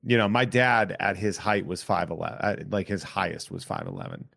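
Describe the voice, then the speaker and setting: Low-voiced Boston man, joking around. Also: fairly quick, gravelly, fairly bright tone, dry recording, studio quality. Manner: joking, rambling, drops into a thick local accent for laughs. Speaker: podcast host. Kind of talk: casual chat.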